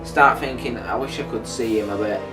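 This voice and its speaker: A man's voice: mid-range voice, normal speed. Rambling man in an echoey room. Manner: rambling, hesitant, thinking aloud. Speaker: co-host of a review discussion. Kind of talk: casual chat reviewing an audio drama. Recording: echoey room, distant mic, faint music behind. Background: faint music.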